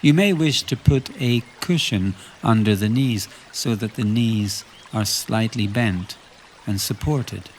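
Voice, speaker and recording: A man leading a guided relaxation meditation, giving calm instructions in short phrases with long pauses between them. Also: on the low side, slight background noise.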